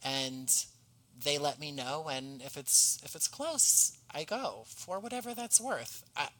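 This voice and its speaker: Bright, clear-toned man chatting as guest. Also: on the high side, lively intonation, unhurried, rough recording. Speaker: podcast guest, playwright. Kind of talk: casual conversational interview.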